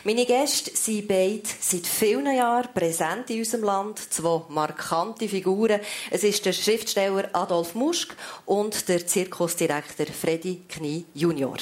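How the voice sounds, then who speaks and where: A woman with a Swiss German accent hosting a live talk show from a theatre stage, asking chatty, lively questions. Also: medium pitch, a little slow. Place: theatre stage before an audience.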